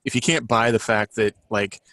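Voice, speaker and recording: A man with a fairly low voice, recorded close and dry, chats as a podcast panelist. He feels his way through ideas, restating them in a thoughtful, tentative fashion. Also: moderate pace, a bit bright, no room sound. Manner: reflective, tentative, rephrases his thoughts as he goes. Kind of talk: casual group discussion.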